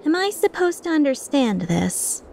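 A woman's voice: sits high, very animated, a little slow, gravelly, a little mellow, a little hiss or hum, heavily acted. A woman voicing a cocky female mercenary leader in a video game fan dub, playful, sarcastic and taunting with an edge of menace. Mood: sardonic.

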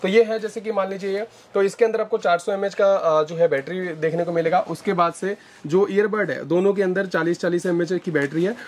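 A young man showing products in a warehouse, explaining things slowly with many fillers on a lapel mic. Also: sits high, fairly even intonation. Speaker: warehouse business owner. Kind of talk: product walkthrough. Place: large indoor warehouse with shelves.

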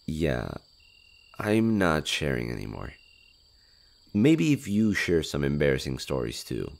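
A man voicing a shy, gentle skinwalker monster in an audio roleplay, speaking hesitantly with innocent curiosity about humans. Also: extremely low pitch, huge pitch swings, creaky, heavily acted. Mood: sheepish. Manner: hesitant, halting, innocent wonder. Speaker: voice actor. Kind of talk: intimate audio roleplay, one-sided dialogue.

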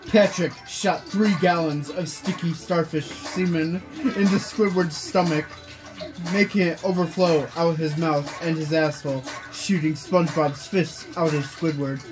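Young guy reading fanfic out loud to his buddies, slow pace, loud music in the room, amused. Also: on the high side, fairly even intonation, poor audio. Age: young adult. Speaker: reader, friend of the video maker. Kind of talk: reading fan fiction aloud to friends. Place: small bedroom, indoors. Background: music playing in the room.

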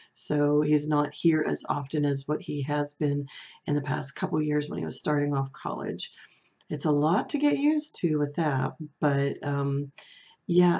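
Soft-voiced, low, mellow woman. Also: lo-fi sound. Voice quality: soft, comforting voice. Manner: reflective, hesitant, with fillers and restarts. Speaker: beauty vlogger. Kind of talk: casual talk to camera. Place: small room at home, indoors.

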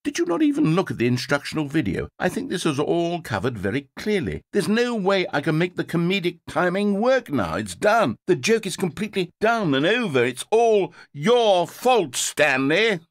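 An actor playing a vain, fussy game narrator obsessed with comedic timing, with a slightly breathy voice. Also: male voice, high-pitched, sing-song, normal speed, few pauses, no room sound. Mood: exasperated. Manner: theatrical, fussy, swings between pride and self-pity. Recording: dry close studio sound.

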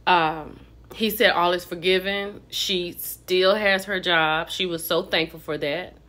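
A woman's voice: lowish voice, steady tone, measured pace, pushed and bright. A woman in her thirties with an American accent, giving a casual, conversational and opinionated online recap with emphatic key words. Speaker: online gossip commentator. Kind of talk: casual vlog recap and commentary.

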